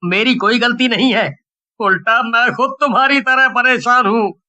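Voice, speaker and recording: A man voicing an indignant, pleading village husband with a dramatic, exasperated manner in an animated story. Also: extremely high pitch, moderate pace, exaggerated voice. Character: exasperated village husband beaten by his wife. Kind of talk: Hindi animated story dialogue.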